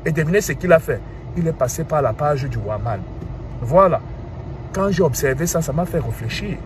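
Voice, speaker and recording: Man, thirties, Cameroonian French accent, animated, with street traffic heard clearly behind. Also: on the high side, moderate pace. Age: 30s. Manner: animated, asks rhetorical questions, repeats "hein". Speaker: commentator. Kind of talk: casual monologue.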